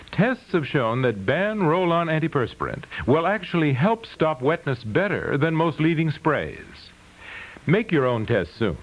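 Lively vintage radio ad announcer, male. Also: a little high. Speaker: commercial announcer. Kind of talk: scripted vintage radio ad read. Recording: dull vintage radio broadcast, music behind. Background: music.

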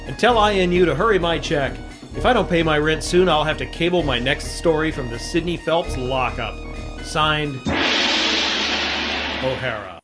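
An actor playing a wry, hard-boiled newspaper reporter in a punchy old-time radio drama style. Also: male voice, fairly high pitch, normal speed, music in the background, natural voice. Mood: wry sign-off.

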